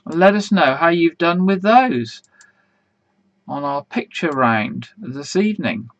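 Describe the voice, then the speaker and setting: A man hosting an online quiz in a casual, rambling way, full of fillers, with a slightly croaky voice. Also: a little high, lively intonation, slow, no echo, muffled recording. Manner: chatty, rambling, many fillers and restarts. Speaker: quiz host.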